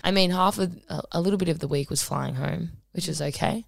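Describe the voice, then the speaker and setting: Woman co-host, husky and low, laid-back rambling delivery, dry close recording. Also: a little fast, no echo. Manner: relaxed, rambling, many ums. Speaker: podcast co-host. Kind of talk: casual podcast chat.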